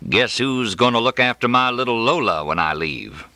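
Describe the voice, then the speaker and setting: A big, folksy older doctor in an audiobook, played by a male reader. He talks in a familiar, teasing drawl, pitched up and swinging widely, with a bright edge. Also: lively intonation, normal speed, no room sound. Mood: serious, scheming. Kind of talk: audiobook character dialogue.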